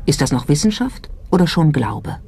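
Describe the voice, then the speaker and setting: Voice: medium pitch, very animated, normal speed, mellow. A narrator reading a scripted documentary. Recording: music behind the voice. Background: background music.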